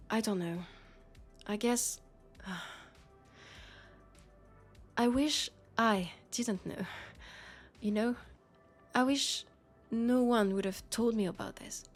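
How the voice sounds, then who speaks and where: A woman with a French accent playing a worried, disillusioned policewoman in an intimate sci-fi roleplay, confiding in a hesitant way. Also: medium pitch. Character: worried, disillusioned young policewoman in a dystopian city. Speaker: roleplay audio performer.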